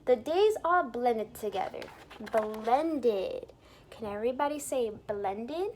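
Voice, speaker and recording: A woman with a high voice reads a children's storybook aloud in a teacherly way. Her delivery is very lively and expressive, swinging up and down as she addresses young listeners. There is some noise in the recording. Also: slow. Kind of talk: animated read-aloud for young children with asides explaining words.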